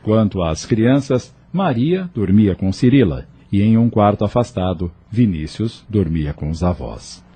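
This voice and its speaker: A man's voice: on the low side, animated, measured pace, few pauses, dark tone, husky. A man narrating a scripted audio novel as a storyteller.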